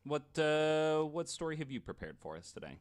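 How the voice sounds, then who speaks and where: A man's voice: a little high, big pitch swings, moderate pace. A man hosting a podcast in casual chat, agreeable with a wry streak. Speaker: podcast host.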